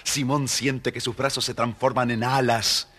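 A man narrating a scripted children's story in a dramatic, expressive way. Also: mid-range voice, a little slow, loud music behind the voice.

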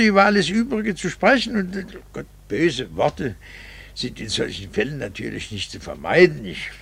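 An actor playing a bitter, condescending middle-aged husband in a theatrical 1960s radio drama. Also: male voice, mid-range voice, vocal fry, fairly bright tone, breathy edge, exaggerated voice. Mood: faltering, evasive. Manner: sardonic, theatrical, drawn-out rhetorical phrasing. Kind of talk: 1960s German-language radio drama acting.

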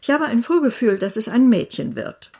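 An actress playing a domineering, eccentric great-aunt in a German radio play, speaking in a brisk, cutting manner. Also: female voice, on the high side, animated, darkish tone. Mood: imperious, certain. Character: domineering, brusque eccentric great-aunt, bossy but well-meaning.

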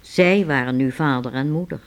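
A narrator telling a scripted story for a radio play in a measured storytelling manner. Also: medium pitch.

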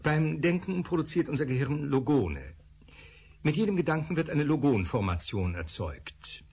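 A lecturing future scientist. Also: male voice, fairly high pitch, big pitch swings, normal speed, a little mellow, breathy edge, exaggerated voice. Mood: earnest lecturing. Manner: lecturing, hesitant fillers. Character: male scientist explaining thought particles. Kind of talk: radio drama acting.

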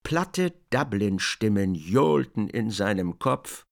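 Slow, creaky male literary narrator. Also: lowish voice, sing-song. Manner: measured, deliberate. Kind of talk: scripted literary narration in a radio drama.

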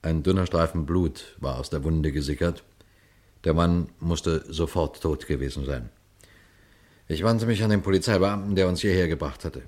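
An actor playing a composed, shrewd police inspector who narrates and questions matter-of-factly in a radio crime play. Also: male voice, sits low, darkish tone. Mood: measured, observant. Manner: matter-of-fact, measured, inquisitive. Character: composed, shrewd male police detective inspector telling his case. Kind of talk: German radio crime play, first-person narration and dialogue.

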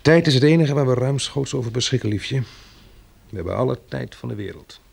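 A man's voice: mid-range voice, expressive, brisk, vocal fry, darkish tone, husky, heavily acted. An actor playing a weary, practical male survivor turned farmer in a radio drama, with a hesitant, musing delivery. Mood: pensive. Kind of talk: radio drama acting with diary narration.

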